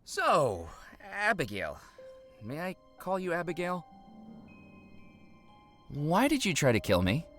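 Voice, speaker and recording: An actor voicing a curious, wry investigator who questions dryly. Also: male voice, fairly high pitch, huge pitch swings, slow, pauses often, fairly bright tone, full character voice. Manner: dry, questioning. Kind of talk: video game voice acting. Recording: faint game music behind.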